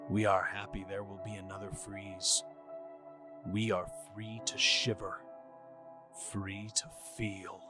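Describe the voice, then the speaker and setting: A man giving a dramatic spoken-word reading of a horror poem in a slow, eerie, menacing manner. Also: lowish voice, flat delivery. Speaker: poetry reader. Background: ambient music.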